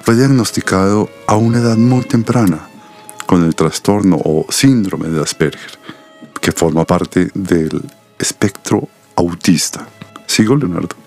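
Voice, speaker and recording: An actor playing an earnest, confiding middle-aged doctor and father in a radio soap opera, with a Colombian accent and measured, solemn delivery. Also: low-pitched, lively intonation, a little mellow, faint music behind. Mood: confiding, grave.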